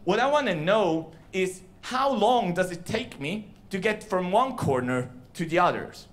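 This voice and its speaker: A man's voice: high-pitched, expressive, measured pace. A man, giving a casual, explanatory science talk on a theater stage into a handheld mic, with fillers. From Switzerland. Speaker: science slam presenter. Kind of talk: live science talk to an audience. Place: large dark theater stage. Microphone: handheld microphone.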